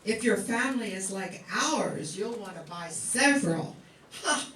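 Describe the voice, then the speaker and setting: A woman's voice: mid-range voice, animated, a little slow, a bit bright. A woman playing an overworked home cook who complains dramatically in an amateur comic radio ad. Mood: melodramatic complaint. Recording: roomy, distant, faintly noisy recording.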